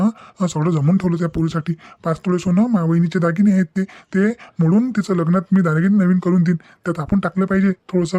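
A man's voice: high-pitched, dark tone, no room sound. A man playing a polite but principled village family man in a comedy cartoon, earnest and plain-spoken. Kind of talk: Marathi comedy cartoon dialogue.

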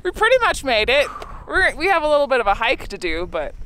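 A woman's voice: sits high, sing-song, a little fast, bright, forceful tone, a little hiss or hum. A young woman chatting cheerfully to a camera held at arm's length on a snowy mountain trail, teasing and laughing easily. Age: young adult. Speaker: vlogger, motorcyclist. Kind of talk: casual selfie vlog chat.

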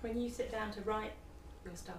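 A woman's voice: mid-range voice, steady tone, normal speed, distant mic, a little hiss or hum. Hesitant off-mic woman interviewer. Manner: hesitant, many fillers and restarts. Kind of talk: interview questions. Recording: roomy, off-mic with faint ambience.

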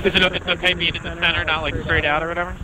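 A man's voice: on the high side, little pitch movement, racing. A man chatting casually outdoors while skiing. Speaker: skier. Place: outdoors on ski slopes. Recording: noisy windy outdoor ski audio.

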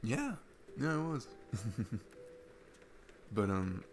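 A young man playing an awkward, chatty single dad on a date in an ASMR roleplay, rambling haltingly and self-deprecatingly. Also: fairly low pitch, sing-song, a little hiss or hum, exaggerated voice. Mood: self-deprecating, playful. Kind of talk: ASMR boyfriend-style roleplay, casual improvised-sounding chat. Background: background music.

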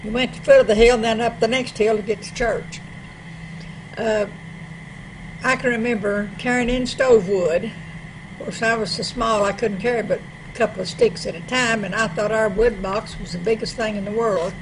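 A woman's voice: fairly high pitch, fairly even intonation. A woman in her eighties with an East Tennessee accent, plainly reminiscing about her rural childhood in a small library room. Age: 80s. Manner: unhurried, plain-spoken. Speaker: storyteller. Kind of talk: casual reminiscing. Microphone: table microphone. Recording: noisy room recording.

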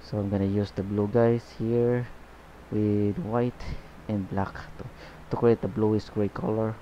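A man giving a painting tutorial as he works, talking in a relaxed, unhurried way and often saying "okay" and "guys". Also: lowish voice, a little hiss or hum. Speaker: painting instructor. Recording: slightly dull, lo-fi recording.

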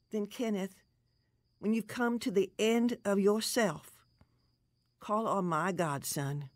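A woman's voice: medium pitch, no room sound, natural voice. An actress playing a devout, earnest old grandmother giving solemn counsel in a radio drama. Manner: slow, earnest, preachy.

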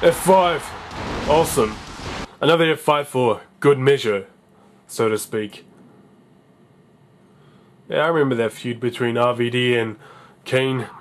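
A man's voice: mid-range voice, unhurried, pauses often, faint music behind. Young man chatting over a wrestling game. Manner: chatty, excitable, reads game dialogue aloud. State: sore voice. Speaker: let's play gamer. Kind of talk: casual gameplay commentary, reading game text aloud.

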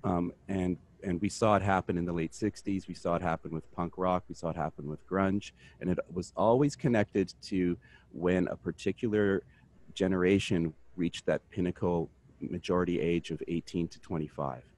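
A man with a very low voice talks earnestly on an online community call. He speaks haltingly, restarting sentences and filling pauses with "um.". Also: fairly even intonation, darkish tone, no room sound. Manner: earnest, halting with fillers and repeats. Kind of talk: casual discussion.

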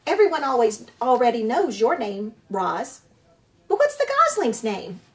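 A woman voicing a bossy old female goose who squawks out rapid, scolding advice in a storybook reading. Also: a little high, sing-song.

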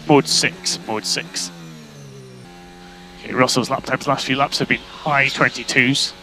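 A man's voice: mid-range voice, unhurried. A man working as a race engineer, giving terse, repeated instructions over motor racing team radio. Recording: noisy team radio with electrical hum.

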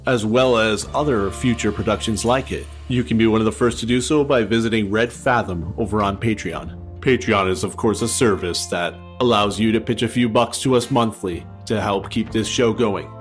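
A man's voice: on the low side, moderate pace, few pauses. A man reading scripted closing credits as a show announcer, speaking directly to the listener. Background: soft guitar music.

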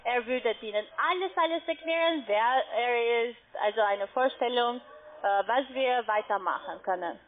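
High voiced woman call center agent, halting n pushy step by step, tinny phone audio. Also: slight background noise. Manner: halting, insistent, step-by-step instructing. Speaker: call centre agent for an online trading platform. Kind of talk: scripted-sounding phone sales instruction. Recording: muffled narrow phone line.

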